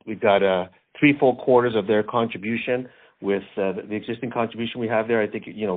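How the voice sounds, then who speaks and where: A man speaking as a finance executive, answering questions on an earnings call in a halting way, repeating words as he goes. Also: fairly low pitch. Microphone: conference call line. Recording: thin phone-like conference call line.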